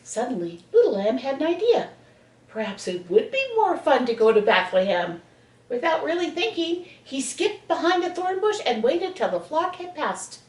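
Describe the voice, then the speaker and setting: A woman reading a children's bedtime story expressively, with dramatic pauses. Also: high-pitched, very animated. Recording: echoey room, distant mic.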